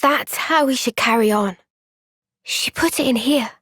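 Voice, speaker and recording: A woman with a British accent voicing an earnest, gentle, thoughtful young woman soldier in a video game cutscene. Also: fairly high pitch, a little slow, breathy edge, dry recording, studio quality. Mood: gently chiding.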